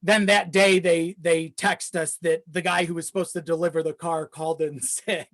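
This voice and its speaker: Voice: medium pitch, flat delivery, moderate pace, a bit bright, dry recording, clean studio sound. A podcast guest chatting casually and telling personal stories in a rambling way, swearing freely and often chuckling mid-sentence. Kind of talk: casual chat, personal storytelling.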